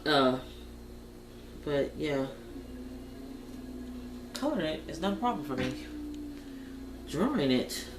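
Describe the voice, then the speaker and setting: A woman's voice: sits low, sing-song, slow, long pauses. A woman chatting casually and thinking aloud in a folksy, informal way as she hosts a colouring vlog. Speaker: host of a colouring tutorial vlog. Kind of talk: casual chat while colouring. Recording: roomy, noisy, distant home camera mic.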